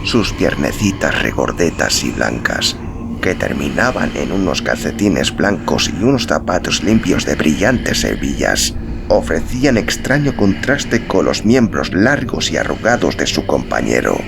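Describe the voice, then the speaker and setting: A man narrating a novel in a solemn, expressive, dramatic storytelling style, with music behind him. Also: rumbling low, unhurried, gravelly, noisy background. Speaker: audiobook narrator. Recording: music bed under narration.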